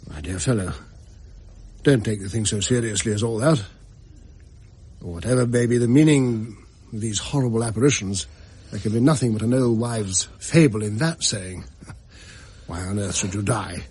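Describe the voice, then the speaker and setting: An actor playing a concerned, sensible gentleman in a period drama, with measured, formal, old-fashioned phrasing. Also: fairly low pitch, husky. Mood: reassuring. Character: concerned, sensible gentleman friend who narrates mournfully. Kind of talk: period radio drama, literary narration.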